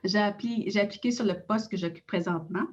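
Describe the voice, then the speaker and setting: A middle-aged Innu woman with a Quebec French accent, reading a prepared personal account in a measured way with frequent hesitations. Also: mid-range voice, darkish tone, dull audio. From Innu community in Quebec. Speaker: director of an Innu cultural institute.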